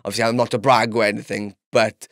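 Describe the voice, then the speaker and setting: A man's voice: lowish voice, level tone, fast, a bit bright, dry recording, clean studio sound. A young man from Llanelli with a South Welsh accent, a student guest who talks earnestly in a casual chat and often restarts his sentences eagerly. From Llanelli, Wales.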